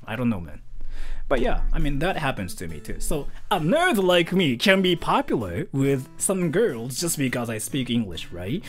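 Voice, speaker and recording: A young man chatting casually on a livestream in a small bedroom, swinging from loud to muttering. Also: medium pitch, wildly swooping pitch, normal speed, faint music behind. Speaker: streamer.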